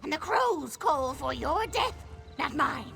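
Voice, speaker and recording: Shrieking, creaky hag witch villain. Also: high voice, big pitch swings, loud music behind the voice, heavily acted. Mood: gloating, taunting. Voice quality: creaky, cackling. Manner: taunting, theatrical, drawn-out threats. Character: gloating, vicious old female hagraven witch villain. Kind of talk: video game villain acting.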